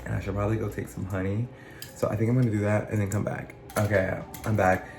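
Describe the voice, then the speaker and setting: A man talking in a casual, earnest monologue, his voice still rough from being sick, often circling back and restarting his phrases. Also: fairly low pitch, level tone, quick pace, a little mellow, roomy sound, slight background noise. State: recovering from illness, voice still rough. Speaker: channel host.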